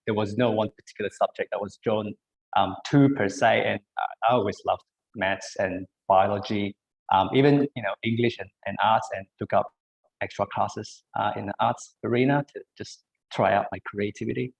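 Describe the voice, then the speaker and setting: Halting man with a gravelly low voice. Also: rough recording. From Malaysia. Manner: hesitant, many ums and uhs. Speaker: biomedical engineer, panel speaker. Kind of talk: online career talk with slides.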